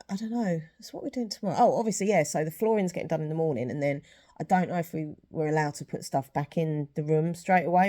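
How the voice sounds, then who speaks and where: Chatty woman with a low, mellow voice. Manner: chatty, rambling with fillers. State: slightly sniffly, sore throat. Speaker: vlogger. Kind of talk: casual vlog chat.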